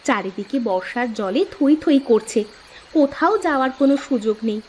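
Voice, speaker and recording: A woman plays an anxious cartoon sister with a high-pitched voice. She frets and complains in a lamenting, gloomy way. There is some noise behind the voice. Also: expressive, moderate pace, darkish tone, lightly acted. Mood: scolding. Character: anxious, gloomy sister fretting over the household. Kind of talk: scripted cartoon story dialogue.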